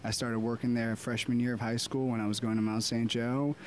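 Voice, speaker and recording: A man in his twenties from Baltimore, a DJ talking casually and reflectively about his life as an interview guest, with frequent "uh" pauses. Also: mid-range voice, slight background noise. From Baltimore, USA. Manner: relaxed, reflective, many "uh" pauses. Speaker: podcast guest, DJ.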